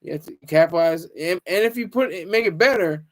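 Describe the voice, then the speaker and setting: A man's voice: high-pitched, fairly quick. A man hosting a casual livestream, rambling, teasing viewers and stumbling over restarts. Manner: stammers and restarts often. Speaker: livestream host.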